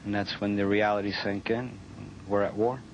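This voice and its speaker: A man's voice: lowish voice, level tone, moderate pace. A man giving a vivid recollection in an interview. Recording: dull lo-fi audio, faint noise.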